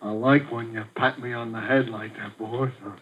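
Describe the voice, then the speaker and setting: Slow, stuttering ex-fighter with a childlike mind; 1940s radio drama, lo-fi. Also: male voice, mid-range voice, fairly even intonation, a bit bright, husky. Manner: stammering, simple, childlike speech. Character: punch-drunk, slow-witted male ex-boxer trainer. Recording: muffled old radio recording.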